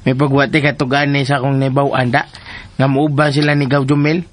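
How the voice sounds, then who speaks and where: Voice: mid-range voice, flat delivery, brisk, lightly acted. A performer with a Cebuano Filipino accent voicing a cheeky, giggly, teasing village busybody in a radio drama. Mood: sly teasing. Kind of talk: Philippine radio drama acting.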